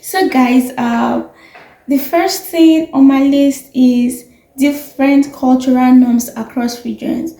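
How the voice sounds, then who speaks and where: A woman's voice: high voice, steady tone, slow pace, warm tone, roomy sound, soft background music. A young woman with a Nigerian accent, chatting casually to camera, rambling with fillers and corrections. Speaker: vlogger.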